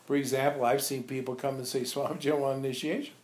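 A man informally reminiscing to visitors as a spiritual teacher, telling animated anecdotes and acting out remembered dialogue. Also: mid-range voice, fast talker. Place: echoey indoor room at an ashram. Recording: roomy, echoey sound.

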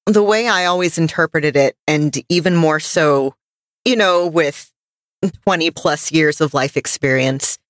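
A woman, probably in her thirties, co-hosting a podcast and reflecting casually in thoughtful, halting phrases. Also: sits low, no echo, studio quality. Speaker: podcast co-host. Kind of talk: reflective casual review discussion.

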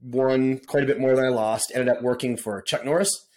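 A man's voice: mid-range voice, fairly even intonation, normal speed, a little mellow, a little hiss or hum. A middle-aged man telling stories as a podcast guest in a casual, laid-back way, often saying dude and man. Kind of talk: casual storytelling interview.

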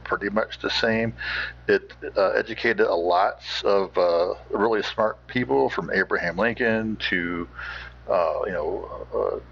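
Gravelly, bright-voiced American male host. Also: on the low side, lo-fi sound. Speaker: podcast host. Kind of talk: unscripted commentary.

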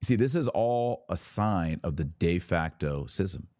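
A man's voice: low-pitched, expressive, measured pace, darkish tone, no room sound, clean studio sound. A man hosting a podcast, delivering a fervent religious monologue in an emphatic, exhorting manner with rhetorical questions and repetition. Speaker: podcast host.

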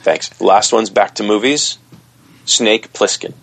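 Low gravelly man, dry close sound. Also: takes it slowly, fairly bright tone. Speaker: guest author. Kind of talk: podcast interview, listing favorite characters.

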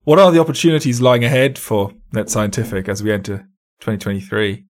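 A man's voice: lowish voice, fairly even intonation, fairly quick. A man asking an investor direct questions as the interviewer.

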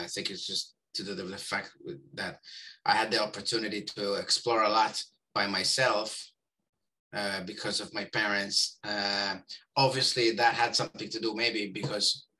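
A man's voice: on the low side, normal speed, bright, forceful tone, poor audio. A man in his forties with an Israeli accent, talking casually as an interview guest, rambling with many fillers and restarts. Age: 40s.

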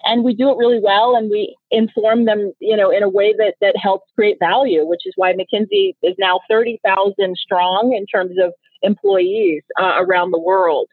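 A woman's voice: mid-range voice, moderate pace, few pauses, breathy edge, no echo. Woman from Nigeria on a phone line. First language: Igbo. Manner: earnest, reflective, frequent restarts. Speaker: guest, company founder. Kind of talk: podcast interview answers. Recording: tinny phone-line sound.

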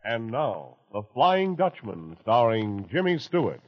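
A man's voice: medium pitch, sing-song, unhurried, lo-fi sound, music in the background. A man announcing in scripted 1940s radio style on an old broadcast.

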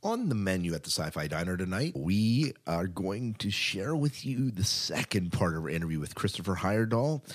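Very low-pitched American man, chatty podcast host. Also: very animated, moderate pace, few pauses. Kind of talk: casual podcast chat and show rundown.

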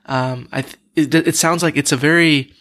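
A man hosting a podcast, reading a scripted introduction and then asking questions in a relaxed, hesitant way. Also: fairly high pitch, normal speed. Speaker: podcast host. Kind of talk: conversational podcast interview.

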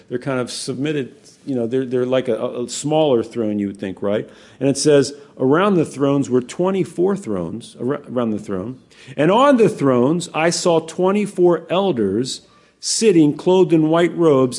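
A man with a warm, mellow, slightly husky voice leads a conversational Bible teaching. He talks casually and with animation, often restarting his sentences and turning to the congregation with asides. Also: fairly high pitch. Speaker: pastor.

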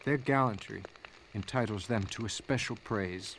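An actor playing a proud Union officer, giving a measured, emphatic dramatic reading of a battle report. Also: male voice, lowish voice, a bit bright, poor audio. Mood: admiring. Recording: clear background noise.

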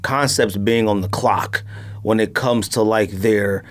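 A man's voice: on the low side, steady tone, measured pace. A man hosting a podcast in casual chat, speaking informally with plenty of slang. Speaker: podcast host.

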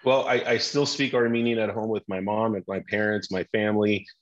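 A middle-aged man from Iran, an orthopedic surgeon, telling his story as a podcast guest in a measured way with frequent restarts. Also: lowish voice, little pitch movement, highs cut off.

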